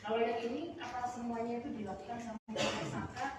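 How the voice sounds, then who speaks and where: Female reporter, informal, highish voice, echoing noisy hall. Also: fairly even intonation, quick pace. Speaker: reporter asking questions. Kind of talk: informal press question. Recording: echoey hall, very noisy, far off.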